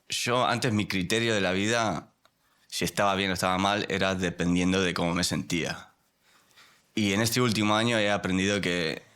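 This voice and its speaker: A man born in Spain and raised in the United States, a podcast guest speaking in a measured, reflective, confessional way. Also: fairly low pitch, fairly bright tone. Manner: measured, reflective, choosing words carefully.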